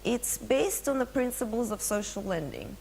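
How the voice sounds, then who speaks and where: A woman's voice: on the high side, animated, moderate pace, vocal fry, a little mellow. A woman with a Russian accent giving a business presentation at a conference, with frequent fillers and restarts, asking the audience questions. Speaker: conference speaker. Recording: long hall reverb, faint hum.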